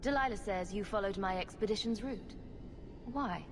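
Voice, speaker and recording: A woman's voice: medium pitch, moderate pace, lightly acted. A woman playing a wry, bold female rogue champion in a video game, sarcastic and flippant. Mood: questioning. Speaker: player character in a fantasy game. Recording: echoey cavern reverb, faint noise.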